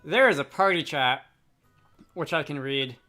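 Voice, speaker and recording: A man's voice: fairly high pitch, lively intonation, unhurried, bright, forceful tone, faint music behind. A man giving casual commentary as he plays a game and reads out its dialogue. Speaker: let's player reading game dialogue aloud. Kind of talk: casual game commentary between read-out lines.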